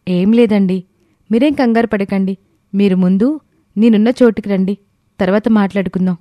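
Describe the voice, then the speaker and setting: A woman playing a thoughtful, protective young wife in an audio story, speaking in an earnest, measured way. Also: mid-range voice, no room sound, dull audio, lightly acted. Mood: calm, earnest. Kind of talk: scripted audio story dialogue.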